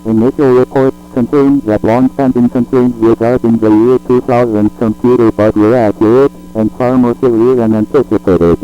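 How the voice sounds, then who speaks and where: A synthetic-sounding man reads a scripted emergency alert in a formal, impersonal, flat manner. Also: medium pitch, moderate pace, hardly any pauses. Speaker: emergency broadcast announcer. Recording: dull lo-fi audio with music.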